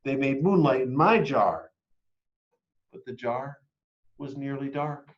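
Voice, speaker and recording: A man reading a children's picture book aloud expressively, moving between hushed and emphatic delivery. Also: mid-range voice, slow pace, muffled recording. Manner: dramatic, hushed and emphatic by turns. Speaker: read-aloud storyteller.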